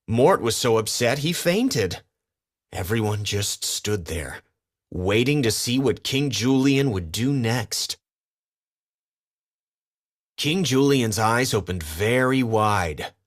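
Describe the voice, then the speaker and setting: A man reading a children's storybook as a scripted narration. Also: medium pitch.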